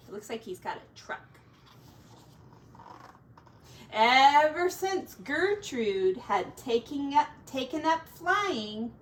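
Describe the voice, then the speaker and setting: Animated high-voiced woman reading a storybook. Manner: expressive, drawn-out words, folksy asides to young listeners. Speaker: teacher reading a storybook aloud. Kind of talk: animated children's storybook read-aloud with chatty asides. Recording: distant mic with faint background noise.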